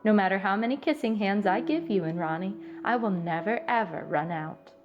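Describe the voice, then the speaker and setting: A woman voicing a gentle, loving mother raccoon in a storybook, speaking in a tender, soothing way. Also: mid-range voice, fairly quick, muffled recording, soft background music, lightly acted. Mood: reassuring.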